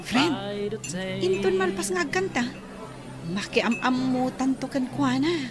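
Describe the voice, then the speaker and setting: A woman's voice: sits high, expressive, a little slow, music in the background. A woman playing a friendly, eager young nurse in an audio drama, with a chirpy, sociable manner. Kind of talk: Ilocano audio drama acting.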